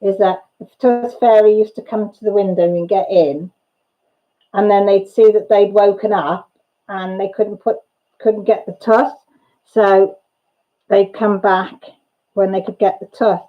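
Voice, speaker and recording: A woman's voice: medium pitch, steady tone, unhurried, dull audio. A middle-aged woman with a Welsh accent, hosting a casual chat and reading viewer comments aloud with giggles and playful repetition. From Wales. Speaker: channel host.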